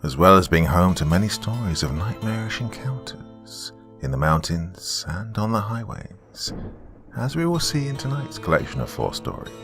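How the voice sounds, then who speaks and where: A man's voice: deep voice, very animated, normal speed, music in the background. A man reading a scripted first-person story as a dramatic narrator. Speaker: narrator of horror stories.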